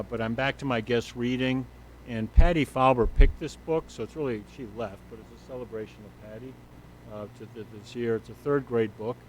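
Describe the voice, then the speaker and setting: This is a man with a big voice giving his monthly report to a school board. He rambles a little, starts sentences over and leans on fillers. His pitch sits in the middle range, and there is faint noise under the recording. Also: poor audio. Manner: loose, rambling delivery with fillers and self-corrections. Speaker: school superintendent.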